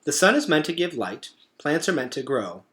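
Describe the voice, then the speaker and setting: A man plays a self-assured storybook owl. It lectures emphatically, making declarations with a very lively rise and fall in pitch. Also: moderate pace. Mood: lecturing.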